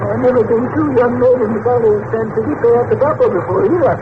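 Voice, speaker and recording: An actor playing a cranky, sharp-tongued jungle companion who scolds and mutters in a crotchety way, in a radio adventure serial. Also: male voice, extremely high pitch, fast talker, a crowd in the background. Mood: cranky, scolding. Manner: crotchety, muttering, colourful oaths. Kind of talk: old-time radio adventure serial acting. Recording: tinny, very noisy, crowded old radio recording.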